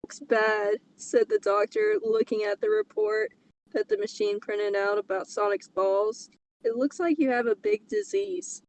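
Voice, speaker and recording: Female co-host, comedic casual reader, very unhurried, faint noise behind her. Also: mid-range voice, steady tone, darkish tone, low-quality recording. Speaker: co-host reading fan fiction aloud. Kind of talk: casual comedic group read-along. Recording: clear background noise.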